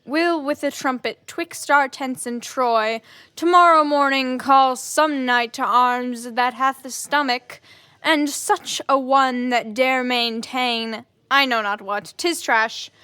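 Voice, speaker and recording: A woman playing a proud, dismissive warrior in a Shakespearean audio drama, haughty and deliberate. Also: high-pitched, sharp tone, clean tone, no echo, exaggerated voice.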